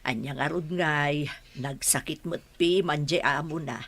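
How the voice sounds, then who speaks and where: A woman's voice: low voice, measured pace, fairly bright tone, heavily acted. An actress playing a blunt, chatty woman in a radio drama. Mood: exasperated.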